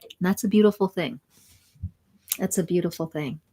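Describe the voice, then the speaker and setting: A woman hosting a spiritual sound healing livestream, talking slowly and soothingly while reading viewer comments and laughing softly. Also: lowish voice, husky. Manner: slow, soothing, reflective, with soft laughs and restarts.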